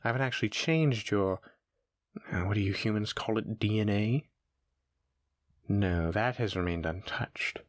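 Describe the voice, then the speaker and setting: Man playing a gleeful, low-voiced taunting monster. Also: a little slow, pauses often, no room sound, clean studio sound, close to an everyday voice. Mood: musing. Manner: gleeful, mocking, theatrical, leisurely musing. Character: taunting sadistic centuries-old male monster keeping a human pet. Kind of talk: scripted horror audio roleplay addressed to the listener.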